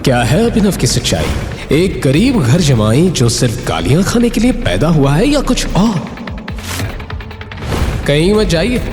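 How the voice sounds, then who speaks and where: A man's voice: a little high, very animated, unhurried, pauses often. A man narrating a scripted audio drama in a suspenseful, theatrical storytelling style. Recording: echoey reverb, music underneath.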